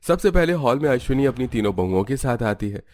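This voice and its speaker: A man's voice: mid-range voice, big pitch swings, a little fast, a little mellow, no echo. A man reading scripted narration for a family cartoon story.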